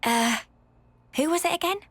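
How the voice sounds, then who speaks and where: A woman's voice: very high voice, moderate pace, a bit bright, no echo. An actress voicing a brash, casual young female soldier in a video game cutscene. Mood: casual, musing.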